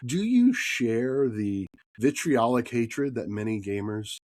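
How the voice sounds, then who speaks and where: Husky male podcast host with a cold. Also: mid-range voice, lively intonation, takes it slowly, a little mellow, no echo. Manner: upbeat, often adds "right?". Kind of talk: casual podcast interview.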